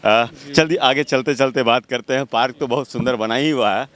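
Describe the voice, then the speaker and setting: A man's voice: medium pitch, brisk, fairly bright tone. A man hosting an informal live interview on location. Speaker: interviewer, host. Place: outdoors in a park.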